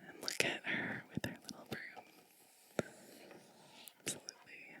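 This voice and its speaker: A woman whispers a storybook in a soft ASMR style. Her breathy delivery is slow and gentle, with a crisp, bright edge and pauses between short phrases. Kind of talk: whispered ASMR storybook reading with chatty asides.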